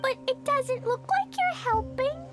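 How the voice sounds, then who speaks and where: Innocent little girl, extremely high pitch, animated, earnest, childlike game character. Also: unhurried, loud music behind the voice. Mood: earnest, gentle. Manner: childlike, talks of self in third person. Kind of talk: video game voice acting.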